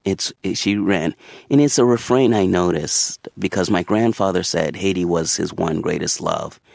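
A man being interviewed as an author, answering in a measured, thoughtful and deliberate way from a radio studio. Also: low voice, creaky, darkish tone, dry recording.

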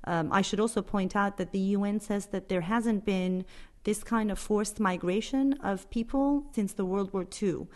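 A woman's voice: medium pitch, normal speed, a little mellow. A woman speaking as a reporter in an interview, explaining things in an earnest, emphatic way. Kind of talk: spoken radio interview, explanatory.

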